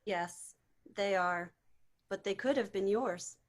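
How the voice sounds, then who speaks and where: Calm, firm guiding female spirit played by a woman. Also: medium pitch, takes it slowly, natural voice. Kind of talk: amateur table read.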